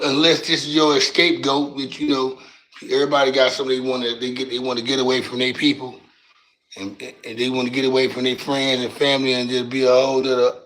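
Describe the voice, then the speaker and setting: A raspy-voiced man, hosting a casual livestream and talking loose and profane into a phone mic from his couch at home. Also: mid-range voice, a bit bright, low-quality recording. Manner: loose, profane, drawling street talk. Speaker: livestream host.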